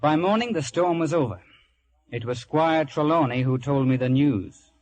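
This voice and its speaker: An actor playing the narrator who recalls a sea adventure, in scripted storytelling style. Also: male voice, on the high side, normal speed, darkish tone, natural voice. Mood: reflective. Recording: old film soundtrack under music.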